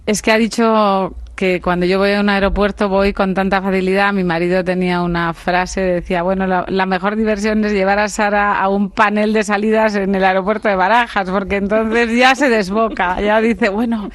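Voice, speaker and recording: A woman from Spain, a business leader as the guest in a radio studio, answering in a reflective, unhurried way. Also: mid-range voice, level tone, no echo. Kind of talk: radio interview conversation.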